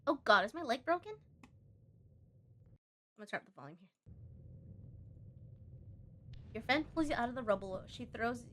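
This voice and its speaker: A woman's voice: a little high, fairly bright tone, a little hiss or hum. A woman game streamer chatting casually and reactively, reading on-screen text aloud and muttering asides to herself.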